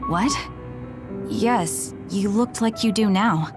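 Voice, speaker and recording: Game heroine, voiced by an actress: young female soldier, medium pitch, earnest, insistent, music behind. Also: slow pace, lightly acted. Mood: serious, pressing. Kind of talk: dramatic video game acting.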